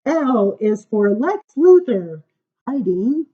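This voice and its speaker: A woman reading children's poems aloud at story time, informal, with dramatic emphasis and drawn-out words. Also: fairly high pitch, very animated, highs cut off. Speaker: library story time reader.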